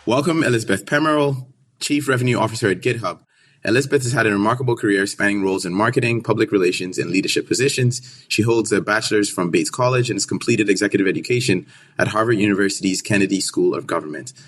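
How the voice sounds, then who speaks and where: A young man hosting a podcast, putting questions to his guest in a brisk, friendly way. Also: on the low side, fairly bright tone. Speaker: podcast host, interviewer. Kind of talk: interview hosting.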